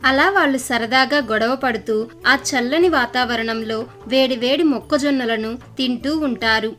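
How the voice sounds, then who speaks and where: Casting: female children's story narrator, high voice, scripted delivery, faint music underneath. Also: fairly even intonation, moderate pace, fairly bright tone.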